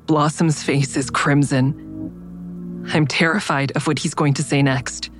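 Anxious lady being questioned in an audio drama, deep voice, guarded, faint music under her. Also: fairly even intonation, unhurried. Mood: tense narration. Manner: guarded, earnest, defensive. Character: anxious, defensive woman under interrogation, narrating her own story. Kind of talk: audio drama acting with first-person narration.

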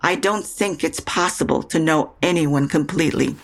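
A woman's voice: low-pitched, moderate pace, creaky. Guarded, mature mother. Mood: defensive. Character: guarded, brittle widowed mother of a grown daughter. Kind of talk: naturalistic audio drama dialogue.

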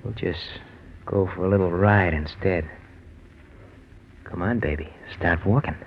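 A man's voice: low voice, a little slow. An actor playing a controlling, suspicious gangster with slangy tough-guy delivery in a 1940s radio drama. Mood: coldly menacing. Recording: muffled, noisy old radio recording.

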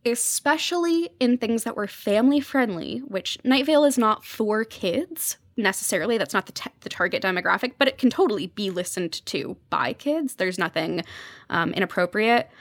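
A woman's voice: medium pitch, animated, moderate pace, no room sound. A young adult woman talking conversationally as a podcast guest, explaining things with enthusiasm. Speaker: podcast guest, journalist covering fiction podcasts. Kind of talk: conversational interview commentary.

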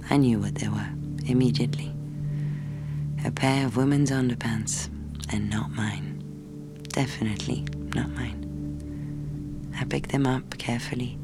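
An actress playing a young fiancée, wounded and sardonic in an understated audio drama. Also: female voice, rumbling low, gravelly. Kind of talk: naturalistic audio drama acting and intimate narration.

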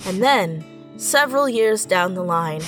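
A woman's voice: mid-range voice, expressive, unhurried, a bit bright. Woman on a writers panel, earnest n encouraging, repeats stuff for emphasis, bit of music behind. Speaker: audio fiction writer on a panel. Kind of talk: reflective panel conversation.

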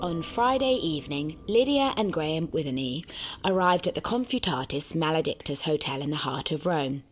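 A woman playing a brisk storyteller, narrating audio fiction in deliberate, list-like phrases. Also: deep voice, lively intonation, a little hiss or hum. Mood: brisk, storylike. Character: brisk female storyteller reeling off tourist sights. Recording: music behind the narration.

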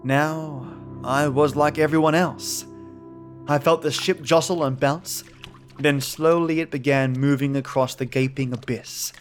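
Male storyteller for first-person horror fiction. Fairly high voice, dramatic scripted read, music underneath. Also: fairly even intonation, a little slow. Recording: voice over background music.

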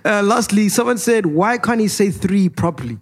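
A young man hosts with a husky, slightly breathy voice set a little high. His pitch jumps about in lively swings as he teases and laughs, dropping "bro" and "yeah" constantly. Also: moderate pace. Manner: teasing, laughs often, says "bro" and "yeah" a lot. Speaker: podcast host. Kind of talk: casual podcast chat.

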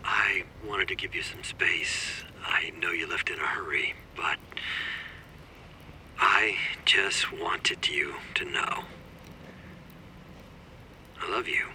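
An actor playing a tender, lovelorn man in an audio drama, speaking in a hushed, halting, intimate way. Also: deep voice, in a whisper, full character voice, low-quality recording. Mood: tender, wistful. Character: tender, lovelorn man giving someone space.

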